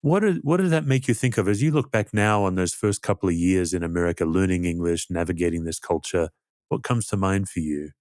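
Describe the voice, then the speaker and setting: A man's voice: sits low, lively intonation, a little fast, darkish tone, no echo, studio quality. A man hosting a podcast interview, curious and drawing his guest out with questions. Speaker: podcast host.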